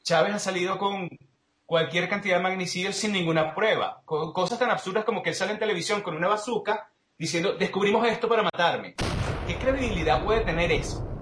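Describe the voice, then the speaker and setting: Male interview guest, Venezuelan accent, slightly high voice, analytical and explanatory, recorded with marked room echo. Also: a little fast, a bit bright. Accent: Venezuelan Spanish. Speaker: interview guest, political commentator.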